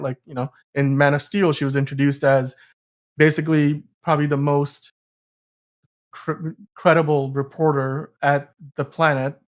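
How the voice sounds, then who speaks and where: A man co-hosting a podcast, talking about film and TV in a casual, enthusiastic, rambling way. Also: medium pitch. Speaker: podcast co-host.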